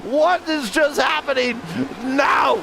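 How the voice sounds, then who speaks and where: A man calling a live sim race play-by-play, excitable and shouting driver names. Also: extremely high pitch, slow, gravelly. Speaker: sim racing commentator. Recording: racing game engine noise behind. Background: car engine roar from the game.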